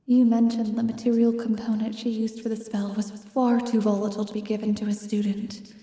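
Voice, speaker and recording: A woman's voice: a little high, flat delivery, a little fast, warm tone. A woman narrating a fantasy roleplay in scripted second-person storytelling style. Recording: distant, echoey long reverb, music behind. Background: instrumental music.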